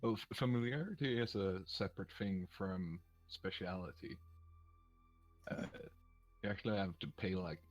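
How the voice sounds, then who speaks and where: Slow, deep-voiced man running a game. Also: low-quality recording. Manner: hesitant, lots of ums and uhs. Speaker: game master. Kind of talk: narrating a tabletop game.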